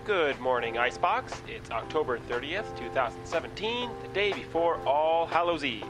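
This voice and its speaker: Casting: deadpan morning radio host, higher voice, music under. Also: male voice, normal speed, rough recording. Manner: deadpan, dry. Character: dry male small-town radio host Cody. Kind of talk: radio comedy, news read.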